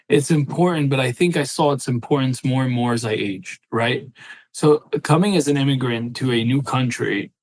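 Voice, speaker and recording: A man's voice: mid-range voice, no echo, poor audio. Passionate man in his thirties, dry close mic. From Syria. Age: 30s. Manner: earnest, passionate, with frequent "right?" asides. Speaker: guest. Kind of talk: personal storytelling in an interview.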